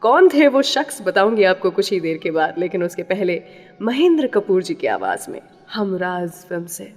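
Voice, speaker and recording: A woman's voice: lowish voice, sing-song, moderate pace, a little mellow. An Indian woman hosting a radio show, telling scripted stories and introducing songs. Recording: long reverb, music behind. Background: music.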